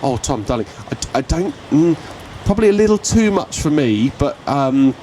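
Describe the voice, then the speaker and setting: A man's voice: a little high, moderate pace. A man telling a pub story in a casual podcast chat, acting out what people said. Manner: animated storyteller who acts out quotes. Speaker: podcast co-host. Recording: faint background noise.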